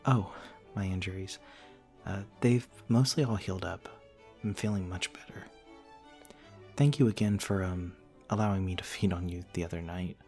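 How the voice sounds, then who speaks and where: Male actor for courteous vampire gentleman, ASMR audio drama. Deep low voice, old-fashioned formal diction, gentle and slightly hesitant, music underneath. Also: gravelly. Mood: grateful, shy. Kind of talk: ASMR roleplay, soft-mannered dramatic monologue to the listener.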